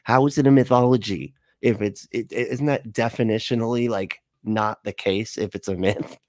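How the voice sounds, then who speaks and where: A man's voice: fairly low pitch, fairly even intonation, normal speed, darkish tone, no echo, studio quality. A man giving casual, rambling and argumentative reaction commentary as a livestream host.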